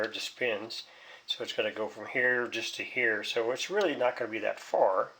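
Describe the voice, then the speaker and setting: A man's voice: medium pitch, fairly bright tone, a little hiss or hum, low-quality recording. A man giving a casual, rambling hands-on repair demonstration, thinking aloud in a hesitant, folksy way. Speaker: hobbyist repairing a vintage radio.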